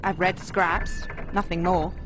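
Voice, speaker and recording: A woman's voice: on the low side, flat delivery, takes it slowly, low-quality recording. An actress playing a skeptical captive woman with a dry, challenging manner in a video game cutscene. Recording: music behind the voice. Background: game soundtrack music.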